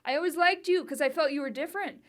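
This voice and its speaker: A woman's voice: high voice, fast talker, natural voice. A woman playing an exasperated, tough-loving friend in a naturalistic dramatic monologue, blunt and reproachful. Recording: music bed behind voice.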